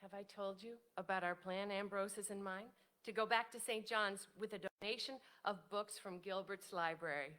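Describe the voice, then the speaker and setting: A woman's voice: medium pitch, a bit bright, close to an everyday voice. Woman playing genteel older widow, modest, wistful, self-doubting, articulate, period stage drama.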